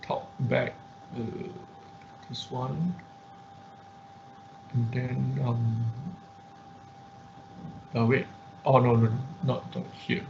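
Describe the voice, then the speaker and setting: Gravelly man teaching over muffled, noisy audio. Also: mid-range voice, little pitch movement. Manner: halting, thinking aloud while troubleshooting. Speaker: instructor. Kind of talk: live software demonstration for an online class. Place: indoors, online class at a computer. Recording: muffled online class audio with background noise.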